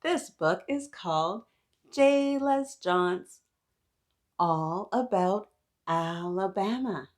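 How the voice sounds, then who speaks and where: A woman's voice: fairly low pitch, gravelly, a little mellow. A woman hosting storytime, chatting interactively with young children in an encouraging, playful manner.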